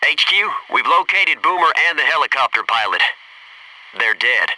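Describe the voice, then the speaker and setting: A sharp, forceful Navy SEAL soldier. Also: male voice, on the high side, expressive, moderate pace, distant mic, slight background noise, rough recording. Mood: businesslike reporting. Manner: clipped, tactical, matter-of-fact. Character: focused, businesslike male Navy SEAL soldier reporting in. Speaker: video game voice actor. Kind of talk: military game voice lines, terse radio callouts.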